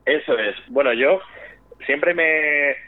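A man's voice: a little high, takes it slowly, no room sound. A young man from Spain, an actor chatting casually as a radio guest over the phone, friendly and full of fillers. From Spain, raised in Valladolid. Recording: tinny phone line.

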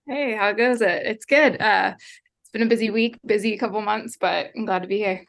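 A woman's voice: mid-range voice, normal speed, gravelly. A young woman from Pennsylvania, a guest on a video call, chatting casually and laughing lightly as she talks. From Pennsylvania, United States. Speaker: guest, social media manager. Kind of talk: casual interview chat.

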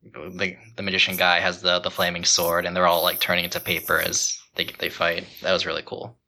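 A man's voice: low voice, completely flat delivery, brisk, vocal fry, pushed and bright, slight background noise. A man who hosts a podcast, casually retelling TV scenes and quoting the dialogue. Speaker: podcast host. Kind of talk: casual chat, recounting TV scenes.

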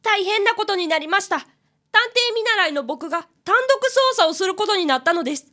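A woman's voice: very high-pitched, brisk, bright, forceful tone. A woman playing an earnest, polite fifth-grade boy apprentice detective in a radio drama, easily flustered. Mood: dismayed, explaining. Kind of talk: radio drama acting with first-person narration.

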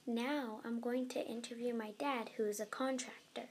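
Young woman interviewer, fairly high voice, friendly and upbeat. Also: fairly even intonation, moderate pace, loud music behind the voice. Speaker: student interviewer. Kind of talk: family interview.

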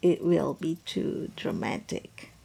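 A woman's voice: low voice, sing-song, takes it slowly, creaky, mellow, slightly rough, exaggerated voice. A woman acting in a roleplay audio drama as a tipsy, lovestruck young woman, self-mocking and playfully theatrical. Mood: soft, wistful.

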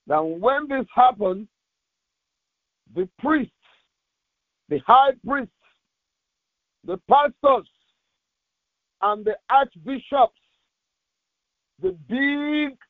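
A male pastor reading scripture and preaching in short, deliberate, emphatic phrases, heard through tinny, narrow audio. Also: very high-pitched, dry recording.

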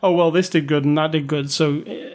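A man with a slightly high voice talks films in a loose, chatty way. He is opinionated and often restarts his sentences as he thinks aloud. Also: fairly even intonation, a little fast. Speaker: podcast co-host. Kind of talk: casual film review chat.

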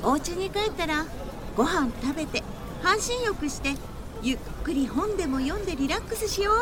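女性が演じる高い声の心配性な天使. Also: female voice, extremely high pitch, expressive, moderate pace, noisy background. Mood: earnest, chiding. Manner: earnest, chiding, girlish. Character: gentle, scolding angel of the heroine's conscience.

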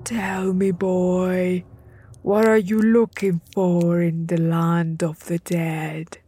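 A woman voicing a menacing male lord of the dead who speaks slowly and commandingly in a storybook. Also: on the low side, little pitch movement, warm tone, husky. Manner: slow, commanding.

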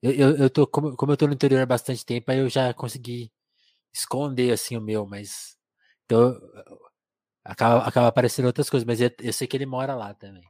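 A man with a rolling countryside São Paulo R, easygoing, who circles back over his words as he tells stories. His voice sits at an ordinary middle pitch, recorded close and completely dry. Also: brisk, no room sound. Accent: São Paulo countryside (caipira R). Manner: relaxed, anecdotal, stammers with repeated words. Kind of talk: casual conversation.

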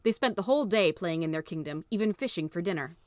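Low-pitched woman narrating a story. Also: lively intonation, fast talker, no echo, clean studio sound.

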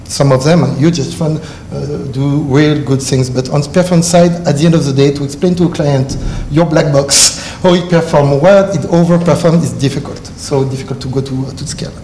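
French-accented man, higher voice, echoey hall. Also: fairly even intonation, warm tone. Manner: halting non-native English with many filler uhs. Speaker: panelist. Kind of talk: conference panel talk. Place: conference hall. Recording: echoey conference hall with faint noise.

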